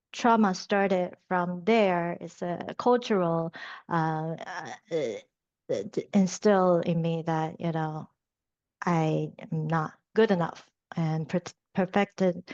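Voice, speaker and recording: A woman from Taiwan, a creative arts therapist, speaking reflectively in an interview with many pauses and fillers. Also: on the low side, a little mellow, no echo, lo-fi sound. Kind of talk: interview conversation.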